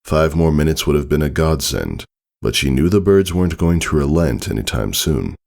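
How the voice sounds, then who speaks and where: A man's voice: a bass voice, lively intonation, moderate pace, gravelly, darkish tone. A man reading a story aloud as a scripted narration. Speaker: fan fiction reader.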